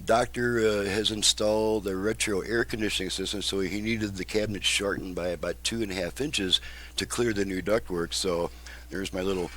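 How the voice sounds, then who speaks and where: A man's voice: deep voice, fairly even intonation, moderate pace, fairly bright tone. A middle-aged or older man giving a casual, methodical step-by-step demonstration as a repair technician. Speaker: car radio repair technician and presenter. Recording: noisy home recording with hum.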